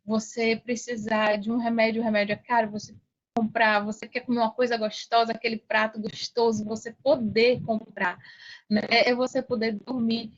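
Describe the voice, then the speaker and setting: Brazilian woman, higher-pitched, earnest and emphatic. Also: little pitch movement, normal speed, lo-fi sound. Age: 40s. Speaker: podcast guest, affiliate marketing coach. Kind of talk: casual motivational interview talk.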